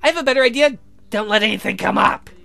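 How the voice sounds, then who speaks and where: Guy putting on a sweet coy girlfriend voice for a game, high and bright, eager, bit hesitant. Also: fast talker, creaky, heavily acted. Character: sweet, eager, coy young woman on a date call. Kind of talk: visual novel character voice.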